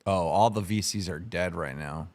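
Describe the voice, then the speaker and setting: A man's voice: low voice, normal speed, a little mellow. A man hosting a livestream, casual and bossy, giving orders to his co-hosts. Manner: bossy and impatient. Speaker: livestream host.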